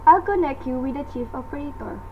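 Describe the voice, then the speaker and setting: A woman's voice: high-pitched, brisk, natural voice. A woman playing a polite, unflappable telephone operator in a radio drama, formal and detached. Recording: muffled, very noisy radio play recording.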